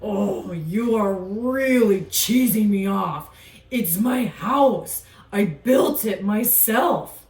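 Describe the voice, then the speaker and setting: Storybook alligator played by a woman: male, exasperated, emphatic and loud, recorded echoey and away from the mic. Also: mid-range voice, animated, drawn-out words. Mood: indignant. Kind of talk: storybook character voice in a read-aloud. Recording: echoey room, distant from mic.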